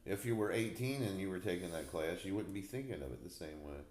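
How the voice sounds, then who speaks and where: A man's voice: deep voice, animated, fast talker, darkish tone. A man in his forties hosting a casual podcast chat, talkative and slangy, laughing easily. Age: 40s. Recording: roomy echo.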